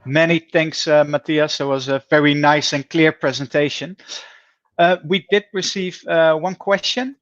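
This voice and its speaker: A man with a Dutch accent and a slightly high voice moderates a session politely. The recording is dry and a little dull, with limited detail. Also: steady tone, measured pace, a bit bright, no room sound.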